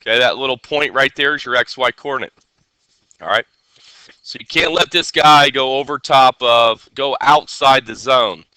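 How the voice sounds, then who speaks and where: A male teacher with a very bright, forceful voice, nasal from a head cold yet crisply clear. He explains coding to a class in an instructional way and keeps checking that students follow. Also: medium pitch, normal speed, dry recording. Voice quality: nasal, congested. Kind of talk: live classroom coding lesson.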